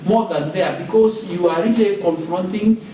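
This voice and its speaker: A man's voice: sits high, moderate pace, mellow, slight background noise. A man from Kenya asking a question from the floor at a panel, hesitant, with many fillers and restarts. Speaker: audience member. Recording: echoey hall, far from the mic.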